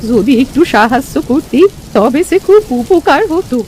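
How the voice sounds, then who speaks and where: High-pitched old village granny. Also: female voice, animated, a little slow, a little mellow. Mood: coaxing, plaintive. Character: old village granny, eerie yet pleading. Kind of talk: Bengali cartoon story voice acting. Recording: noisy, with rain ambience.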